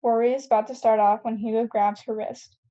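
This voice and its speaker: A woman's voice: on the high side, level tone, brisk, mellow, muffled recording. A woman reading scene headings and stage directions as the narrator of a scripted table read.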